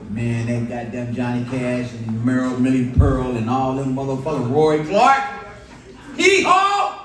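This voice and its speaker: A man in his sixties doing loud, profane, rambling stand-up comedy at a bar and grill. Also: medium pitch. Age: 60s. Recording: echoey club recorded from far off. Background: audience laughter.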